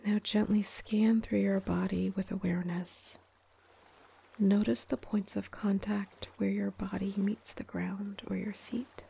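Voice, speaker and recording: Female meditation guide, whispery and soothing, mellow tone, very even delivery with gentle pauses. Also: medium pitch. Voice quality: breathy, whispery. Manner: calm, soothing, gentle, unhurried with long pauses. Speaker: meditation guide, yoga teacher. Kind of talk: guided meditation, soft-spoken.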